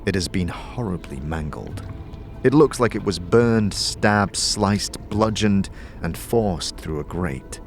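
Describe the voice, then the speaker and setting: Slow, deep-voiced male narrator. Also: expressive. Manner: slow, grim, deliberate delivery. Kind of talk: second-person audio drama narration. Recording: faint music underneath.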